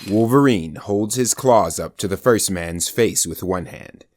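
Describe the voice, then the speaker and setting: A man's voice: sits low, measured pace, no echo, studio quality. A man narrating superhero action in an audio drama, in a scripted dramatic storytelling style. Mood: dramatic narration.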